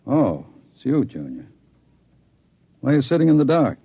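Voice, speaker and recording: An actor playing a sly, falsely friendly man, husky and patronizing, in a 1950s radio drama. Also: mid-range voice, huge pitch swings, normal speed, dark tone, a little hiss or hum. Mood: coaxing, sly. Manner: coaxing, patronizing. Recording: dull old radio broadcast.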